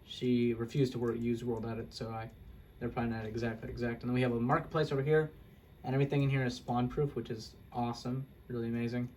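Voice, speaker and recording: A man's voice: mid-range voice, warm tone, slight background noise. A young man casually walking through a game build, rambling and correcting himself with self-deprecating asides. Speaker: Minecraft video creator. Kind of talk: casual gameplay walkthrough.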